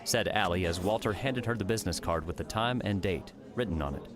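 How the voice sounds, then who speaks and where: A man's voice: low-pitched, a little fast, music in the background. A man reading scripted story narration as the narrator of an audiobook.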